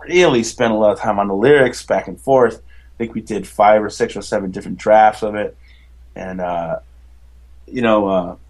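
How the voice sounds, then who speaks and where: A man with a baritone voice, a musician chatting as a podcast guest, rambling enthusiastically with many fillers and restarts. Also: a little mellow. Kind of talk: casual interview chat.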